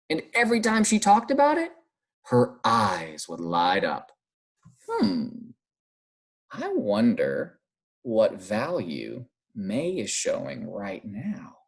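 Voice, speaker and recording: A male primary teacher reads a picture book to children in an animated, encouraging way. His pitch swings widely and his voice is a little husky, with frequent questions to his young listeners. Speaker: primary school teacher reading aloud. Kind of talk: expressive children's read-aloud with guiding questions.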